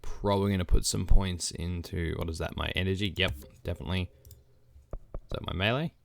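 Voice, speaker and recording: Deep croaky guy with a cold, chatting thru his game, bit of hiss. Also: fairly even intonation, brisk. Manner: chatty, thinking out loud. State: hoarse from a cold. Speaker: gameplay channel host. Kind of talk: casual let's-play commentary.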